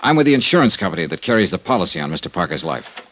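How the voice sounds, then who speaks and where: An actor playing a shrewd, courteous American insurance investigator in a 1950s radio drama, clipped and probing. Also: male voice, deep voice, sing-song, very fast, fairly bright tone, dry recording, full character voice. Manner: probing, smooth, clipped hard-boiled delivery. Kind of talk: 1950s radio detective drama, first-person narration. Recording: vintage radio recording with music.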